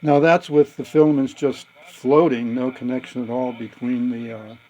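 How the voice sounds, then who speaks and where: Male hobbyist presenter, husky and low-key in tone, methodical hands-on explainer. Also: fairly even intonation, moderate pace, mellow, rough recording. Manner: methodical, matter-of-fact. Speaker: hobbyist presenter demonstrating radio repair.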